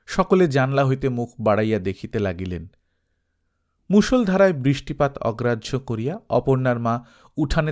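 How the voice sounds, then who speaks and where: A man reads literary fiction in an expressive storytelling manner, at a middle pitch. The delivery is scripted narration, shaped like an audiobook.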